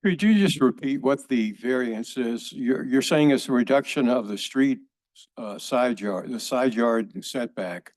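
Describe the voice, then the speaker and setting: A man's voice: mid-range voice, unhurried, a little mellow, no room sound. A man on a city board asking brief, plain questions to clarify a case. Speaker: board member. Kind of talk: public hearing questioning.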